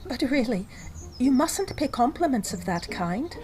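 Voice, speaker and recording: A woman's voice: sits high, very animated, background noise. A woman playing a prim, polite young lady. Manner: prim and courteous, measured and correcting. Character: polite, proper young lady, patient and gently exasperated. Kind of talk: old-time radio drama acting.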